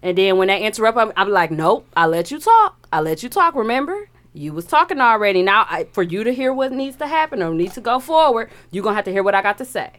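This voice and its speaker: A woman's voice: mid-range voice, sing-song, quick pace, hardly any pauses, a bit bright. A woman in casual conversation among friends, animatedly telling a story about handling phone callers. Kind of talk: casual chat.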